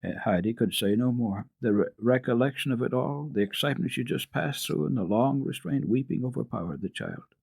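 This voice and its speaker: A man narrating a children's classic as a dramatic radio reading, deliberate and expressive in his storytelling. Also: medium pitch, vocal fry, breathy edge, dry recording.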